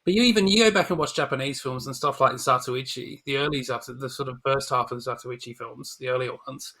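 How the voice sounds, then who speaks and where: A man talks casually on a film podcast in a bright, forward voice at a middling pitch. He thinks out loud, tentatively, and often looks for agreement. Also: fast talker.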